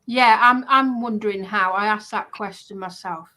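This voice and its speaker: A woman streamer chatting casually in a halting way, often repeating herself. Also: mid-range voice, pushed and bright. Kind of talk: casual chat.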